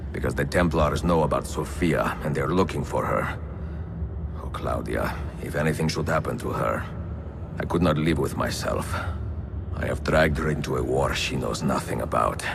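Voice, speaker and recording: An actor playing a weary, resolute older master assassin with an Italian accent and a grave, measured delivery in a game cutscene. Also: male voice. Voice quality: deep, gravelly. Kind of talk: dramatic game cutscene acting. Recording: music behind.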